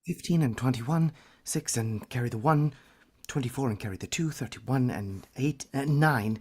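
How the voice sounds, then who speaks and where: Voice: medium pitch, normal speed, a little mellow, slightly rough, lightly acted. A performer playing a meek Victorian clerk in a radio drama, stammering and deferential. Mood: busy muttering. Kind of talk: vintage radio drama acting.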